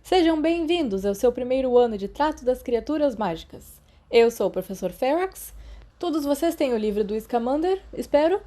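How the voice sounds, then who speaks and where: Casting: hearty male creatures teacher, thirties, storybook classroom, encouraging, mellow tone with lifted pitch played by a female narrator. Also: lively intonation, moderate pace. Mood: hearty welcome. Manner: booming, encouraging classroom address. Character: hearty, enthusiastic male professor of magical creatures in his thirties. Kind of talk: audiobook character dialogue.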